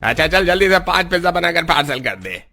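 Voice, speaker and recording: A man's voice: a little high, sing-song, brisk, gravelly, bright, forceful tone, heavily acted. A man voicing a bullying, menacing street thug in a cartoon story. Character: bullying male street thug demanding money.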